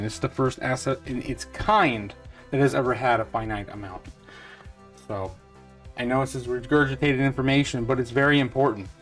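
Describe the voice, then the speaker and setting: A man in his thirties hosting a crypto channel. He walks through a retirement calculator in a loose, rambling way, with many fillers and restarts, and he sounds sure of his views. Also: mid-range voice. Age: 30s. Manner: upbeat and certain in his views. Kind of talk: casual talk over a retirement calculator.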